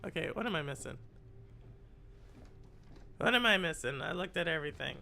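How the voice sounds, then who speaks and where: A streamer with a raspy, congested voice, chatting with viewers and muttering aloud while playing a game live. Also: mid-range voice, expressive, normal speed, pushed and bright. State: congested from a cold. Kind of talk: live gameplay stream with chat.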